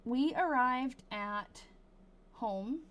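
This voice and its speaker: A woman's voice: high-pitched, expressive, a bit bright, lo-fi sound. Casual woman reading an old diary aloud. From Massachusetts. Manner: casual, thinks aloud, slows down over hard-to-read words. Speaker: live-stream host.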